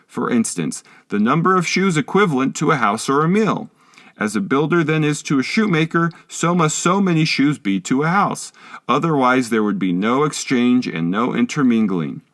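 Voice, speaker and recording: A man delivers scripted audiobook narration with a lively, widely moving pitch. His reading is measured and instructive, and the sound is dry and close, free of room echo. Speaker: audiobook reader.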